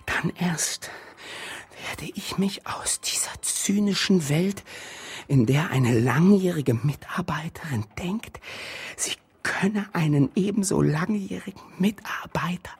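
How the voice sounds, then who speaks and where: Husky cynical agency woman. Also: on the low side, slow pace, darkish tone, heavily acted. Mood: hushed, bitter. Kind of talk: modern radio play monologue.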